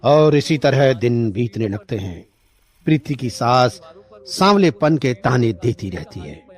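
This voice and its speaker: A man's voice: medium pitch, very animated, slow. A man reading scripted narration for a moral story. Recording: music bed behind the voice.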